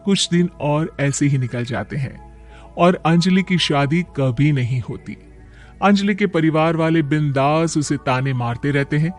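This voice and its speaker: A man giving scripted narration for an animated moral story. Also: a little high.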